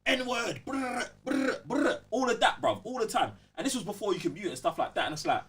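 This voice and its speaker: A young London man with a fairly high, husky voice makes his points emphatically on a casual podcast. His speech is slangy and full of little check-ins with the listener. He sits a little way back from the microphone. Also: big pitch swings, brisk, a bit bright, distant mic. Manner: emphatic, slangy, repeats check-in tag phrases. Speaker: podcast host.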